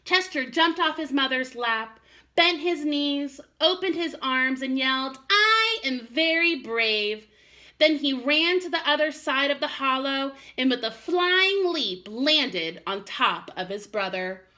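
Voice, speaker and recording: Lady teacher doing story time, high bright voice, encouraging, talks to little kids. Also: measured pace. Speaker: first-grade teacher. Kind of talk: expressive read-aloud for young children.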